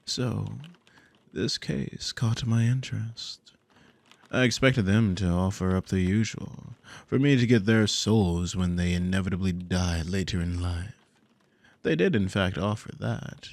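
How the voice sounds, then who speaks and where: A deep-voiced, slow-talking demon played by a man in an intimate ASMR roleplay. He is smug and possessive, drawling his commands with condescending, silky menace. The low voice crackles with gravel. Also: a little mellow, no echo, exaggerated voice. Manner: commanding, condescending, theatrical drawl with lingering pauses. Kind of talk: ASMR audio roleplay, intimate scripted monologue.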